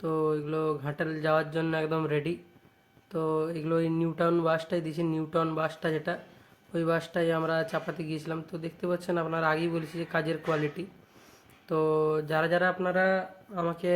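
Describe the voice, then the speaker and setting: A man with a West Bengali accent casually showing off products as a shop owner vlogging, often adding little checking tag phrases. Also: on the high side. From West Bengal, India. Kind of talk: casual product showcase vlog.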